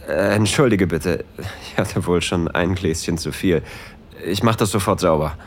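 Very deep-voiced, introverted man played by an actor. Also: normal speed, slight background noise. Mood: shy, flustered. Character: introverted, flustered male train traveler narrating a romantic encounter. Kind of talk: intimate audio drama narration and dialogue.

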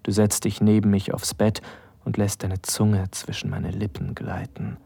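An actor playing an aroused, hesitant young man in love with his best friend, narrating an intimate audio drama in a hushed, breathy voice that often slips into whispers. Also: on the low side, little pitch movement, unhurried, dry recording, full character voice. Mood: tender, aroused.

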